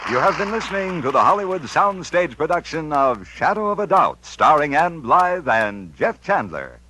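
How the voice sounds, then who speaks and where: A man's voice: on the high side, sing-song, normal speed, bright, forceful tone, a faint crowd, slight background noise, rough recording. An American man announcing on 1950s radio in a polished, emphatic, scripted promotional style.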